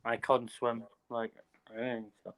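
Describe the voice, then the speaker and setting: A man's voice: mid-range voice, level tone, normal speed, fairly bright tone, no echo. A man guesting on a livestream over a video call, talking bluntly and swearing casually, with stammered restarts. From Ireland. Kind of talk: casual chat. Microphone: remote video call mic.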